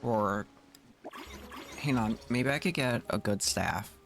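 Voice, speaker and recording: A man's voice: lowish voice, slow, gravelly, fairly bright tone. A man doing casual gameplay commentary as a streamer, thinking aloud and muttering to himself in short fragments. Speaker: gaming streamer. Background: background music.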